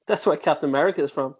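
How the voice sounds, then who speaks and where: A woman's voice: deep voice, darkish tone, husky. Curious young boy, voiced by a woman, dull sound.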